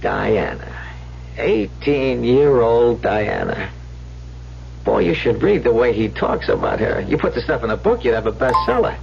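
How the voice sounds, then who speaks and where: A cocky, slangy small-time swindler. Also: male voice, medium pitch, sing-song, measured pace, gravelly. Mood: sly, gloating. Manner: slangy, streetwise, wheedling. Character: cocky, greedy small-time swindler sweet-talking his girlfriend. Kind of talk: old-time radio drama acting. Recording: muffled, hissy old radio broadcast recording.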